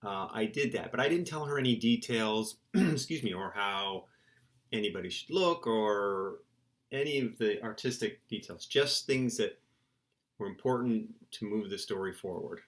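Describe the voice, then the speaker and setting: A man's voice: lowish voice, animated, normal speed. A middle-aged man, a children's book author, giving casual, playful interview answers in simple terms for young listeners.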